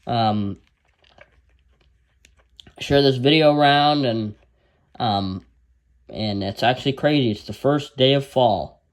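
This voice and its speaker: A man's voice: medium pitch, takes it slowly, pauses often. A man casually reviewing a handheld radio, rambling with lots of fillers and restarts.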